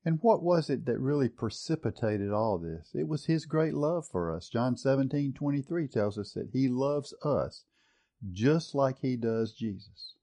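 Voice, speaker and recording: A man leads a Bible study in a warm, mellow voice at an ordinary pitch. He is earnest and reflective, weighing one scripture translation against another. He often restarts a phrase, repeating a word or two as he reaches for it. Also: animated, measured pace. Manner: repeats words while finding the phrase. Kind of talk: teaching a Bible study session.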